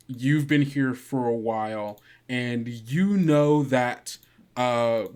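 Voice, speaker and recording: A man narrating a tabletop game as game master, informal and halting as he improvises the scene. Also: medium pitch, darkish tone.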